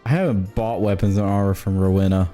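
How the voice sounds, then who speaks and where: Male streamer, relaxed low tone, jokey casual chat over faint game music. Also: quick pace. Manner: playful, jokey. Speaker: livestream host playing a game.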